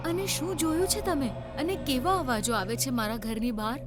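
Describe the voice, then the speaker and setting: Woman acting a high-voiced, anxious village housewife. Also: moderate pace, lightly acted. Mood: worried, earnest. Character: Radha, worried motherly village housewife in a children's ghost story. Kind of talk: animated children's story dialogue. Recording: music bed behind voice.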